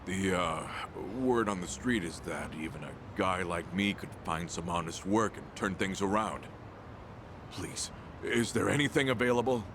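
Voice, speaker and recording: A man's voice: deep voice, moderate pace, noisy background. A man voicing a desperate, emotional down-and-out ex-yakuza family man in a video game drama. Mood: humble pleading. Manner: pleading, emotional.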